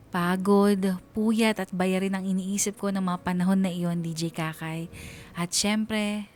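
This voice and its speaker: A woman narrates a personal story for Filipino radio. Her voice sits at an ordinary middle pitch, in a scripted storytelling style.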